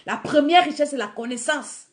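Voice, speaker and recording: A woman preacher leading a sermon and guided prayer while she has a cold. She moves between soft murmured prayer and rising, fervent exhortation. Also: high voice, normal speed, slight background noise.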